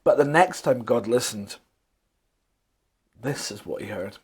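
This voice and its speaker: A man telling a children's bedtime story, with dramatic pauses, emphatic repetition and questions to his listeners. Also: medium pitch, animated, husky.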